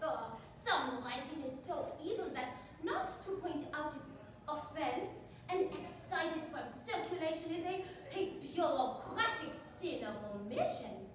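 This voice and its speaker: A woman on stage playing a fervent, self-righteous authoritarian witness who declaims to the room. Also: high voice. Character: fervent authoritarian banned book on the witness stand. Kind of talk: live stage readers theater. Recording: distant mic, echoey room, faint noise.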